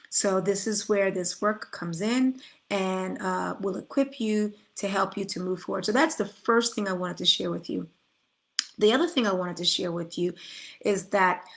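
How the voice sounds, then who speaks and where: A woman's voice: mid-range voice, creaky, a bit bright. A middle-aged woman coach giving an earnest, spiritual motivational talk, inviting listeners to come forward, with frequent restarts. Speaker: life coach. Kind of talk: motivational direct-to-camera talk.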